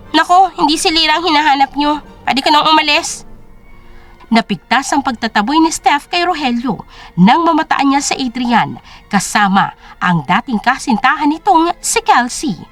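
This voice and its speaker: A woman reading scripted dramatic narration as the storyteller of an audio drama. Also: high voice.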